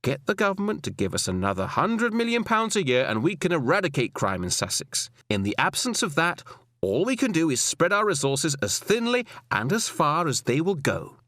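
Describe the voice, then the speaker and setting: A man's voice: fairly high pitch, wildly swooping pitch, moderate pace, fairly bright tone, no room sound, studio quality, pitched above the performer's own voice, heavily acted. A man voicing a cold, stern, scornful senior policewoman in an audiobook, with a clipped, authoritative, lecturing manner. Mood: cold, firm. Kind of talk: audiobook character dialogue.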